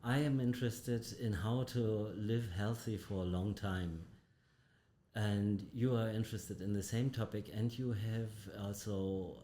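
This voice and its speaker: Male host, mellow tone, kinda halting questions, echoey room. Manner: halting, repeats words while forming questions. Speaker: doctor hosting an interview show. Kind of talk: interviewing. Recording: roomy echo with faint rumble.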